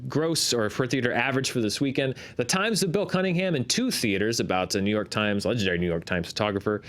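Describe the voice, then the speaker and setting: A man hosts an analysis show in a conversational style. He explains things earnestly, often stopping to rephrase or correct himself mid-thought. His pitch sits in an ordinary middle range for a man. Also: fast talker, fairly bright tone. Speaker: host of a box office analysis show. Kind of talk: conversational box office commentary.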